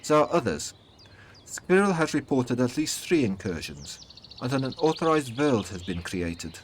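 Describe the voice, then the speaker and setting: A man voicing a young-looking immortal huntress with a German accent, measured and formal, in a fantasy audio story. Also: mid-range voice, sing-song, slight background noise, voice pushed up, close to an everyday voice. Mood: guarded. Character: young-looking German huntress, two hundred years old, guarded, neutral.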